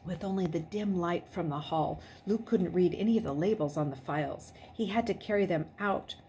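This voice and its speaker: Female read-aloud host, lowish voice, expressive storyteller delivery, light background noise on the recording. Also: normal speed. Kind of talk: chatty greeting, then expressive children's novel read-aloud. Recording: noisy background.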